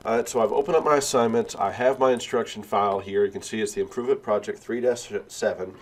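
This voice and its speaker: A male instructor with a cold and sniffles, talking step by step through a software tutorial with frequent "uh" and "all right.". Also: on the low side, quick pace, a little hiss or hum. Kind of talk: screen-recorded software tutorial.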